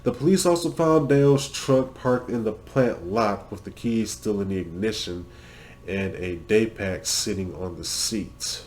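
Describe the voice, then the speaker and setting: A man with a fairly low voice reads a mystery script in a measured, even way. Faint music plays under his narration. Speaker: narrator of a played mystery video.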